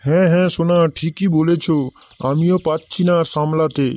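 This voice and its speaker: Highish male voice, lecherous lovestruck cartoon boyfriend, acted by a man, eager, crude, faint noise. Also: fairly even intonation, normal speed, darkish tone, natural voice. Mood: eager, wooing. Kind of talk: adult comedy cartoon voice acting.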